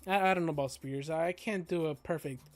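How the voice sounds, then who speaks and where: Raspy game streamer over music. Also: mid-range voice, brisk. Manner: stumbles and rereads lines. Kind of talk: casual playthrough, reading visual novel aloud.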